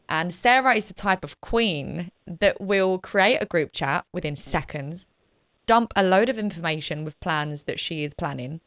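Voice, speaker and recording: A young woman hosting a podcast, talking in a chatty, animated, self-deprecating way. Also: on the low side, dry recording. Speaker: podcast host. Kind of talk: casual chat.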